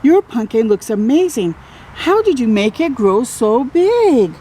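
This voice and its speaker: Woman reading as an admiring, animated little girl kitten. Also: on the high side, unhurried, slight background noise. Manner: kind, wide-eyed and encouraging. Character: friendly, admiring young female kitten in a storybook.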